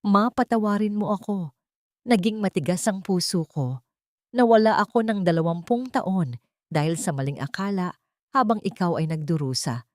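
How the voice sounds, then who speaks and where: A woman's voice: medium pitch, expressive, moderate pace, no room sound, clean studio sound, natural voice. A woman voicing a guilt-stricken grown son in an audio story, pleading and emotional. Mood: remorseful. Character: remorseful grown son, wealthy man broken by guilt.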